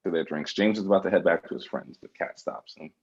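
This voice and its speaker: A man's voice: low-pitched, quick pace, breathy edge. A man reading scripted stage directions as narrator, in a slightly lo-fi recording. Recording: slightly dull, lo-fi sound.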